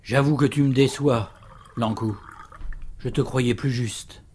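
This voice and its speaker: A bitter older father in mourning, played by a man, speaks in an audio drama. He is gruff, reproachful and defiant, with faint noise behind him. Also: mid-range voice, steady tone, takes it slowly, darkish tone, natural voice.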